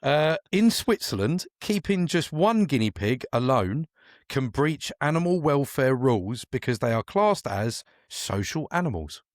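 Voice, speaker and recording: A man hosts a casual true-or-false quiz, teasing his guests as he goes. His pitch moves up and down a lot while he reads out the questions. The sound is close and dry. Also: very animated, a little slow, no echo. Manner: playful, teasing, repeats questions. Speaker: quiz host.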